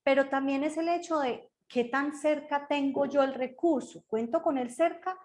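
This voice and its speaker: A woman's voice: sits high, moderate pace, darkish tone. A woman speaking as a grief counselor in an interview, earnest and listing her ideas one after another. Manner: earnest, lists ideas in series. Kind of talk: explaining in an interview.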